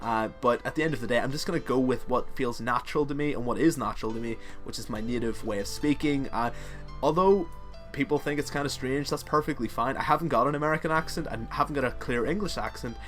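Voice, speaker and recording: A man's voice: mid-range voice, fast talker, a bit bright. Man, Northern Irish accent, young adult, casual game tutorial, rambling with fillers, faint music underneath. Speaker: game guide maker. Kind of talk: game strategy tutorial voice-over.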